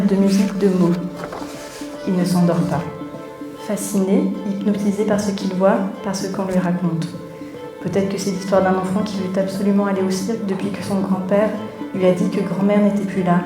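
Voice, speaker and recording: A woman, a theatre director, addressing an audience live from a theatre, in the manner of a welcome and read-aloud story. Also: medium pitch. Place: theatre auditorium, before an audience. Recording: roomy echo, music underneath.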